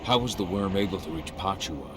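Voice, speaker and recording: A man's voice: sits low, expressive, brisk, background noise. A man playing a brooding, determined werewolf warrior in a video game cutscene, speaking in a terse, stern way. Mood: subdued, resolute.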